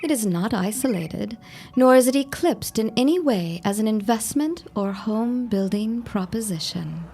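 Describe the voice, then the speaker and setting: A woman's voice: a little high, lively intonation, normal speed, mellow, a little hiss or hum. A woman playing an old-time radio advertisement announcer, declarative and promotional, in an audio drama. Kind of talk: 1910s-style advertisement read.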